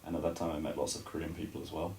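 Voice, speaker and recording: A man in his forties from the United Kingdom, talking as a guest in a casual radio interview, relaxed and hesitant with many restarts. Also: rumbling low, rapid-fire, echoey room, recorded from a distance, a little hiss or hum.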